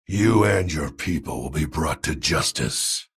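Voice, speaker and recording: A man playing a grim, stern fantasy warrior in a video game, with a gravelly, husky voice and a dramatic, solemn delivery. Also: a bass voice, expressive, a little slow, exaggerated voice. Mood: urgent, forceful.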